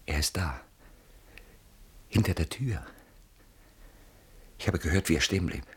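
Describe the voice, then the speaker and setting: A frightened, suspicious man in a radio drama, with a very deep, husky voice. He talks in a hushed but agitated, insistent manner, as if someone were listening. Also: expressive, unhurried, full character voice. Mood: hushed, wary. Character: frightened, suspicious man hiding from a stalker at night. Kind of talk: German radio play acting.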